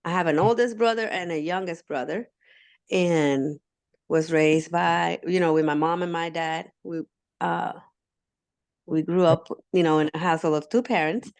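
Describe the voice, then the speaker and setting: Low-voiced Puerto Rican woman in her fifties. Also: fairly even intonation, moderate pace. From Puerto Rico. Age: 50s. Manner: warm storyteller with many "um"s, laughing often. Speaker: guest. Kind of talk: casual interview talk.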